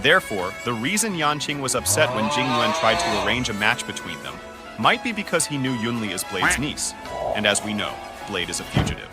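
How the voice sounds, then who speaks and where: Man explaining lore over loud music. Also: mid-range voice, sing-song, a little fast. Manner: explanatory, matter-of-fact.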